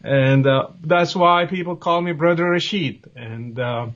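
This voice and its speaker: A man's voice: on the high side, a little slow, fairly bright tone. Moroccan man on a muffled call. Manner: hesitant, many fillers, laughs easily. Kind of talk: casual interview talk. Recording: dull lo-fi sound.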